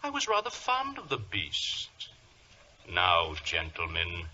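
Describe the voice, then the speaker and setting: An actor playing a suave, gloating criminal mastermind with mock-courteous menace, in the theatrical style of 1940s radio drama. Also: male voice, deep voice, huge pitch swings, slow, sharp tone, exaggerated voice. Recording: noisy, narrow old radio recording.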